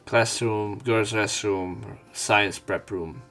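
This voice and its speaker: Low-voiced man narrating a visual novel over music. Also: steady tone, slow pace. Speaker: let's play host reading aloud and commenting. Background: game music.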